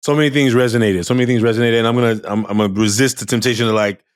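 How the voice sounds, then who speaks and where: A male teacher with a loud voice, talking candidly and telling lively stories in a conversation over a video call. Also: mid-range voice, very fast, smooth, no echo, studio quality. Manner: animated storyteller, acts out quoted students.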